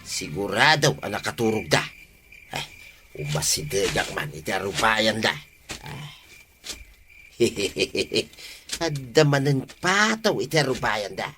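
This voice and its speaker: A man's voice: lowish voice, animated, slow, long pauses. A man playing a sheepish, excuse-making village thief in an Ilocano comedy audio drama, exclaiming and stammering with an Ilocano Filipino accent. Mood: sneaky, hushed.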